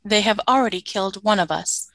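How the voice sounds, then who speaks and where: A woman's voice: mid-range voice, brisk, a bit bright, no room sound, lightly acted. A woman playing a stern, obedient female alien soldier in a radio play, speaking in formal, clipped, literal statements. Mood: stern warning.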